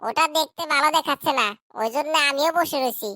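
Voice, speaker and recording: A woman voicing a sulky, mischievous schoolboy in a cartoon, rough-spoken. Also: sits high, fast, a crowd in the background, natural voice. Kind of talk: cartoon dub acting.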